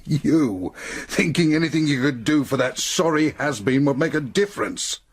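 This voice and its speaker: An actor playing a bitter, quick-tempered businessman in a radio drama, scornful and threatening. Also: fairly high pitch, animated, slight background noise, natural voice. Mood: contemptuous.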